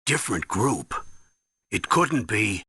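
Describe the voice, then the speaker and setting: Very raspy, gruff colonel. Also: male voice, lowish voice, very animated, slow, sharp tone, no echo, studio quality, full character voice. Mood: shocked, concerned. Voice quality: raspy, gravelly. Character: gruff, evasive older male army colonel, troubled and defensive. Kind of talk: dramatic video game voice acting.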